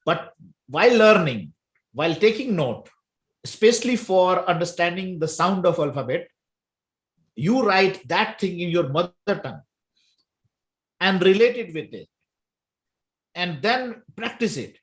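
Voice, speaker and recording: A man's voice: high-pitched, very animated, measured pace, long pauses. A man with an Indian accent, a language teacher whose first language is Hindi, talking through a casual discussion with many fillers and restarts. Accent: Indian English.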